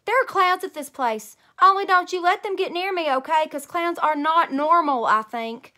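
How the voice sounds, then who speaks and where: Woman playing a loud, high, excitable little girl. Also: moderate pace. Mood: wary, emphatic. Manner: childlike grammar, exclaiming, emphatic. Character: excitable, bossy, blunt little girl narrator.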